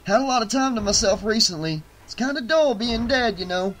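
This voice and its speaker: A man's voice: high voice, animated, a little fast, a bit bright, slight background noise, pitched above the performer's own voice, low-quality recording. A man voicing a laid-back, chirpy dead stage performer in an audiobook, casual and showy.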